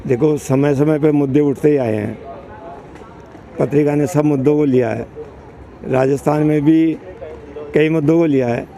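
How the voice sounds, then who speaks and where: A man's voice: fairly high pitch, steady tone, normal speed, creaky, pauses often, dark tone, rough recording. A man in his sixties answering a street interview, talking earnestly and at length. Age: 60s. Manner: earnest, emphatic, rambling. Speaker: interviewee, longtime reader.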